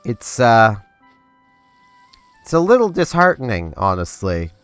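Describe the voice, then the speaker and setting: A man's voice: medium pitch, sing-song, a little slow, clear, resonant tone, dry recording. A congested young man hosting a gaming channel, rambling casually over gameplay with lots of fillers and restarts. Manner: rambling, filler-heavy, self-interrupting. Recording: dry close recording with faint game music.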